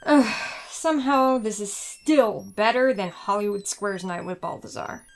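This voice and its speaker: A woman's voice: mid-range voice, animated, unhurried, noisy background. An actress playing a cocky, sarcastic woman hacker in an audio drama, dry and mocking. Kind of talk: scripted audio drama acting.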